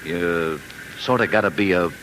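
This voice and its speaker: Folksy, forceful small-town father, old radio. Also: sits low, moderate pace. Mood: earnest, fatherly. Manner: homespun, emphatic speechmaking. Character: folksy, stubborn small-town father, middle-aged, earnest. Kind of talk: 1940s radio acting. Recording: noisy vintage 1940s radio recording.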